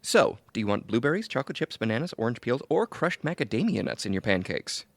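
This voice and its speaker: A man's voice: medium pitch, sing-song, quick pace, creaky, no echo. An actor playing a chipper, helpful male friend in an audio theatre comedy, earnest and upbeat.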